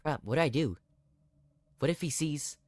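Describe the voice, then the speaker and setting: A man's voice: on the high side, animated, unhurried, dry recording, voice pushed up. A man voicing an anxious, earnest young protagonist in a horror visual novel. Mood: panicked. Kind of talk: dramatic game character reading.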